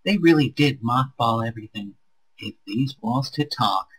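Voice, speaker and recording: Man voicing a jaded space captain, husky, middle pitch, reluctant, sci-fi visual novel. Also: animated, normal speed, a bit bright. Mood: wistful. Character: weary, reluctant male space captain, bitter about lost love.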